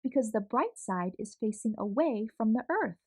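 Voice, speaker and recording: A woman as a calm kind aunt. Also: a little high, moderate pace, slightly rough, dry recording, clean studio sound. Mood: gentle. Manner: gentle, explaining.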